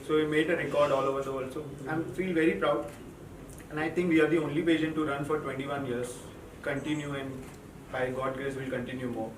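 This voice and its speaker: A man running a pageant talks with pride in short, repeated phrases. He sounds set back from the mic in an echoey space. Loud event noise surrounds him. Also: on the high side, fairly even intonation, fairly quick, recorded from a distance. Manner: proud, plain-spoken with short repeated phrases. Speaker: pageant organizer. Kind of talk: press interview.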